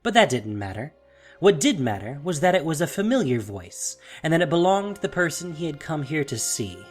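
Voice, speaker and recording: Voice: mid-range voice, very animated, brisk. A narrator reading a scripted fantasy tale in a dramatic storytelling style. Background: soft music.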